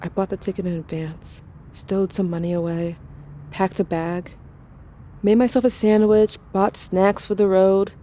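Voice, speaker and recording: A woman's voice: mid-range voice, fairly even intonation, measured pace, dark tone, a little hiss or hum, close to an everyday voice. An actress playing an angry, grieving teenage girl, reading out diary-like letters in a blunt, sardonic way for an audio drama. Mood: bitter, frustrated. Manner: sardonic, confessional, bluntly informal. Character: angry, grieving teenage girl writing to her dead sibling.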